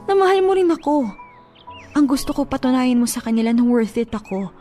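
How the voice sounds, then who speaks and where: A devoted, insecure filipina girlfriend in radio drama, soft dark tone, faint music under. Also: high voice, expressive, a little fast. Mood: hurt, pleading. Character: earnest, insecure adult girlfriend, devoted and wanting reassurance. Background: soft background music.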